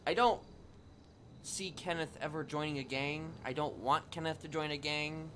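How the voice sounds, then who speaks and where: A man's voice: a little high, steady tone, moderate pace, sharp tone, slight background noise. A man talking casually and hesitantly on a roleplay livestream, often repeating himself. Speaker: streamer roleplaying.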